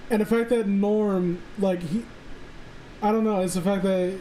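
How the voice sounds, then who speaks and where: A man with a high voice talks in loose, casual conversation. He is blunt, opinionated and swears freely. Noticeable noise sits behind his voice. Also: steady tone, unhurried, mellow. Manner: profane, opinionated. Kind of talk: casual chat. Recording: clearly noisy background.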